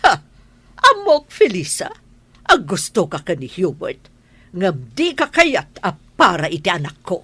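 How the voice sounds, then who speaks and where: A woman's voice: lowish voice, very animated, unhurried, sharp tone, husky, no room sound, exaggerated voice. A woman playing a haughty, angry madam of the house, scolding imperiously in a radio drama. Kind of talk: Ilocano radio drama acting.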